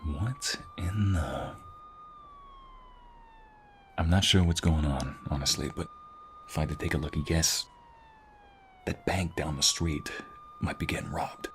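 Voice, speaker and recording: A man playing a protective, flirtatious ex-mobster husband in an intimate ASMR roleplay, tender but menacing, with breathy murmurs and a teasing manner. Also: wildly swooping pitch, measured pace, pauses often, a little hiss or hum, full character voice. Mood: alert, teasing. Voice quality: deep, gravelly, often breathy murmur. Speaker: voice actor.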